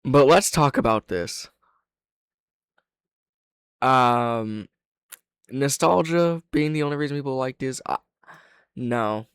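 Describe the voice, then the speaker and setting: A man's voice: lowish voice, no room sound. Opinionated man giving casual reaction commentary, dry sound. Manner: opinionated, frank, swears. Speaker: reaction video host and reviewer.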